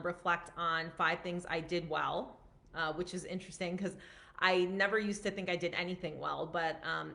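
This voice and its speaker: A woman in her thirties speaks loosely on a live stream, her voice fairly low but forceful and bright. She projects loudly and chats in a loose, unscripted way, full of fillers and quick restarts. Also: vocal fry. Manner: loud, projecting voice; chatty, many fillers and restarts. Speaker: physician and life coach. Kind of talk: casual live-stream talk.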